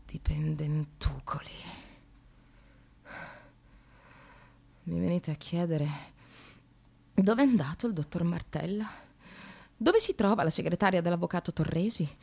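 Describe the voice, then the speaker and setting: An actress playing a resentful, frazzled receptionist in a theatrical radio monologue, volatile between clipped politeness and bitterness. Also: female voice, on the low side, very animated, normal speed, vocal fry, darkish tone, exaggerated voice. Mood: hushed, mocking. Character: frazzled, resentful female receptionist in precarious work, near breakdown.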